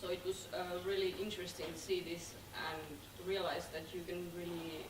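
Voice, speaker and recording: A woman's voice: fairly low pitch, flat delivery, normal speed. Eager woman, roomy and noisy hall. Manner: eager, plain simple English. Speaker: audience member. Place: cinema hall. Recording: echoey hall from far off with noise.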